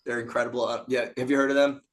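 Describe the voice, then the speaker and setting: A raspy-voiced man from a band, joking and swearing in casual podcast chat, often acting out what people said. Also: mid-range voice, little pitch movement, racing. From Philadelphia area. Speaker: band member guest.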